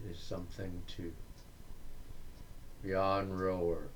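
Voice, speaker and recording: Very slow, low-voiced man reciting verse. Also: a little mellow, a little hiss or hum. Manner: deliberate, declamatory, with pauses between short phrases. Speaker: reader of poetry. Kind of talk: dramatic recitation of Victorian verse.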